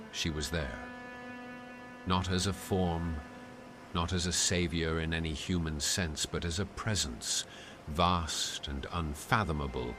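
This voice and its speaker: Man acting a very deep, haunted gothic narrator. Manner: solemn, ornate, deliberate delivery. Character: haunted, dread-struck man tethered to an unseen sea entity. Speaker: narrator in an audio roleplay. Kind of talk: gothic cosmic horror dramatic monologue.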